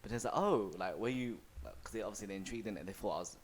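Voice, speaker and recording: A man's voice: lowish voice, rapid-fire. A young man with a London accent, co-hosting a casual podcast chat and telling stories in slangy, sweary talk. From England. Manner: slangy, swears freely, animated storytelling. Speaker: podcast co-host.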